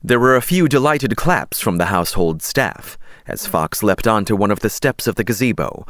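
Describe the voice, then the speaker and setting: A man's voice: fairly low pitch, lively intonation, normal speed, fairly bright tone, dry recording, clean studio sound. A man narrating an audiobook story in a scripted storytelling style.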